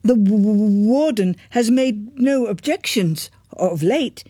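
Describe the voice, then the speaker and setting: A woman voicing a nervous young male scholar who stutters and wavers softly, in a storybook reading. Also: fairly high pitch, slow, slightly rough, no echo, clean studio sound, full character voice. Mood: hesitant. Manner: stuttering, hesitant, soft.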